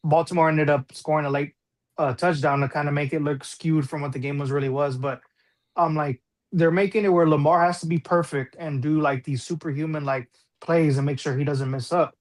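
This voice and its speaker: A man's voice: on the high side, fairly even intonation, fairly quick. A man on a sports panel talking colloquially and repeating phrases to drive his point home. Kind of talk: casual sports debate.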